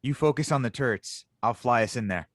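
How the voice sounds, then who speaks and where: A man's voice: mid-range voice, fairly quick, no room sound, clean studio sound, close to an everyday voice. A man playing an earnest, stubborn young inventor in a space audio drama, urgent and insistent. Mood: determined, tense. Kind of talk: audio drama table read.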